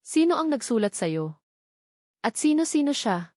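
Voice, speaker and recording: A woman voicing an anxious, hesitant young woman with memory loss in an audio romance drama, speaking in a halting, tentative way. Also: high voice, sing-song, darkish tone, no echo, clean studio sound, pitched above the performer's own voice. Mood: searching, wistful.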